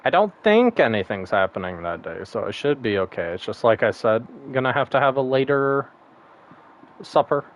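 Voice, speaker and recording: A man's voice: mid-range voice, sing-song, moderate pace, dull audio. A man streaming a game, chatting casually and rambling, laughing often and swearing now and then. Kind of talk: casual gaming stream chat.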